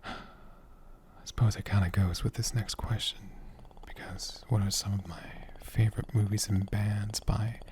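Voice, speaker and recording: A man speaking softly and gently in an ASMR style, breathy and often whispering, rambling unhurriedly as he answers questions off the cuff. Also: on the low side, steady tone, measured pace, a little hiss or hum. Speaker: ASMR creator and channel host. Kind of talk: soft-spoken ASMR, unscripted question-and-answer.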